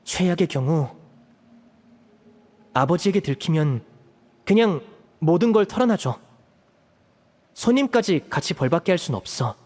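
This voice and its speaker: An actor playing a young male magician who leads calmly and persuasively in a Korean video game. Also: fairly high pitch, a little slow, pauses often, long reverb tail. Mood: composed, resolute. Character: composed young male magician, caring leader.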